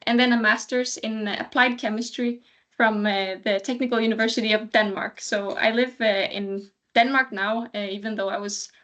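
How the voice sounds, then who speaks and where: A woman's voice: fairly high pitch, steady tone, moderate pace, fairly bright tone. A woman from Sweden in her thirties giving a cheerful, casual update on a video call, laughing lightly. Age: 30s. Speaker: alumni speaker.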